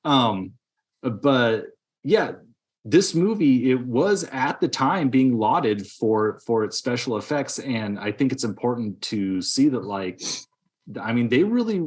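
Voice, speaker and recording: A man in early middle age co-hosting a podcast, talking casually about films in a halting, rambling way. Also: mid-range voice, sing-song. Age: 30s or 40s. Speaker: podcast co-host. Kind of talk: casual film discussion.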